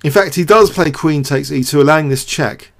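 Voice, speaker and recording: A man with a somewhat high-pitched voice hosts an analytical commentary. He works things out aloud without a script, hesitating and repeating words as he goes. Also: a little fast, gravelly. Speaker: host of a chess show. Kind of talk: off-the-cuff game commentary and analysis.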